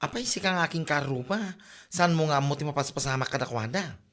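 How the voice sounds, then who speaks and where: A man's voice: fairly high pitch, animated, brisk, a bit bright. A man acting as a chatty, gossiping neighbourhood friend in an Ilocano comedy drama, teasing and mocking. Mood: gossipy.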